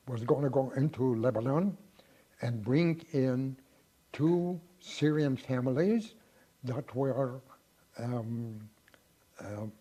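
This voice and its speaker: A man in his nineties giving an oral history interview, reminiscing in a halting way with frequent pauses and restarts. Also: medium pitch, warm tone, breathy edge, poor audio. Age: 90s.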